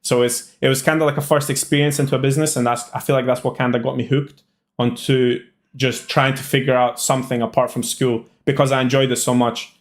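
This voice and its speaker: A man's voice: medium pitch, little pitch movement, fairly quick. A young man from Albania who moved to the UK as a child, answering casually as an interview guest in an informal, hesitant way. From Albania, moved to the UK at six. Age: young adult. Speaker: interview guest, student trader.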